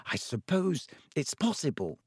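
Storybook schoolgirl, acted by a man: bright-minded, earnest, careful and doubting, slightly raised pitch. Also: lively intonation, normal speed, husky, dry recording, studio quality. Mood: cautious, hushed. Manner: careful, hushed, skeptical. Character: earnest, cautious, brainy schoolgirl.

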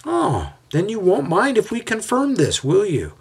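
Man doing a scheming mob leader, storybook, calculated, menacing, huskier higher voice. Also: sing-song, normal speed, husky. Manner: raised, calculated and coolly menacing. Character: scheming smooth-talking male mob leader.

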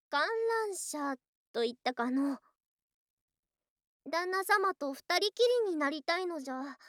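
A voice actress playing an affectionate snake-spirit wife in a Japanese ASMR voice drama, with archaic, coy, excitable speech and open devotion. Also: female voice, high voice, no room sound, clean studio sound, heavily acted. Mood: wistful, sweet. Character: affectionate female lamia snake-spirit wife, girlish yet old-fashioned.

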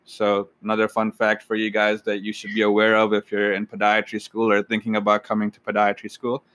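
A young man in his twenties hosting an interview, relaxed and addressing listeners directly. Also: on the low side, fairly quick, dry recording. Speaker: podcast host.

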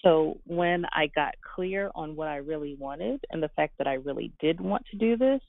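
A woman from Guyana speaks as an interview guest, reflective and earnest. Her voice has a frying, creaky edge. The sound is close and dry but narrow and lo-fi, like a call. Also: low-pitched, expressive, moderate pace, no room sound. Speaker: interview guest, fashion designer and business owner. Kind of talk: conversational interview answers.

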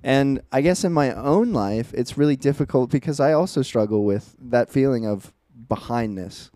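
A man's voice: medium pitch, animated, moderate pace, dark tone, dry recording, studio quality. A man in his twenties, co-hosting a casual podcast chat, telling stories in an earnest, reflective way. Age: 20s. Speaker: podcast co-host.

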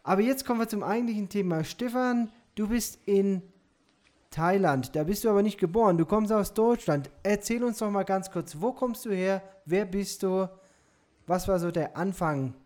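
A man hosts an interview podcast over a video call. He greets his listeners with enthusiasm and then asks his guest questions at an unhurried, measured pace. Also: sits high, darkish tone. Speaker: podcast host.

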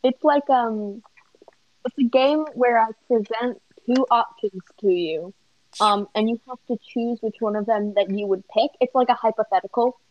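A woman's voice: on the high side, normal speed. A young woman on a group call with friends, laughing often and explaining things patiently. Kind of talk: casual chat. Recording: dull muffled computer mic.

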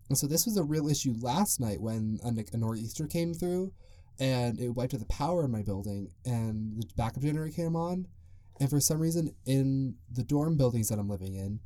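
Very mellow young man rambling on a podcast. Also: medium pitch, no room sound, clean studio sound. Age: young adult. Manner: rambling, loose and chatty, full of "like". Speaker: podcast host. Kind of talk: casual solo podcast monologue.

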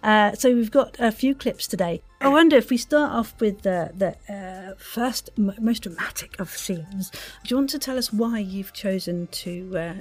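An effusive woman hosts a casual interview podcast. Her voice sits at a middling pitch, with a giggly manner and faint music behind. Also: slightly rough. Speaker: podcast host and voice actor.

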